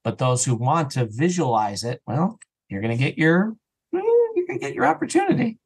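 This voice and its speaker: A man with a medium-pitched voice talks casually as a podcast guest. He speaks in a conversational, storytelling way and often voices little imagined remarks as he explains things. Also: big pitch swings, a little fast, husky. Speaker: podcast guest, audio drama creator. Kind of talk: casual interview chat.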